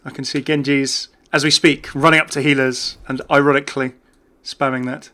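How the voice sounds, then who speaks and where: Bright, chatty male gaming commentator. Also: mid-range voice, little pitch movement, moderate pace. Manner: chatty, jokey, rambling. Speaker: gaming YouTuber. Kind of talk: casual off-the-cuff game commentary.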